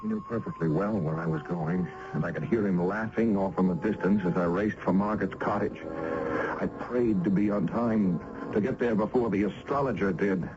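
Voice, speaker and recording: A man's voice: deep voice, moderate pace, over music, close to an everyday voice. An actor playing an earnest, anxious, lovelorn man in an old-time radio drama, with a hesitant, dramatic delivery. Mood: somber storytelling. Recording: dull, noisy vintage radio recording.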